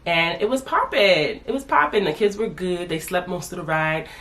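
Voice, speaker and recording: A woman's voice: fairly low pitch, animated, moderate pace, a bit bright. A woman in her thirties chatting casually as a family vlogger, congested from a sinus infection and calling herself "your girl". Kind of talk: casual chat to camera.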